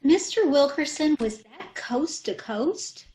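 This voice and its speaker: A woman's voice: sits high, animated, slow pace, mellow, slight background noise. A woman playing a scatterbrained, muddled wife in an old-time radio comedy, recorded over a video call. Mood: dizzy. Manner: dizzy, malapropping.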